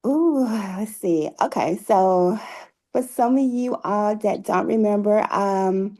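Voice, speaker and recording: A woman chats as a guest in an interview. Her voice sits at a middle pitch with noticeable creak. She talks effusively and laughs easily. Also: slow pace, mellow. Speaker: interview guest, author and playwright. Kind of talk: casual interview conversation.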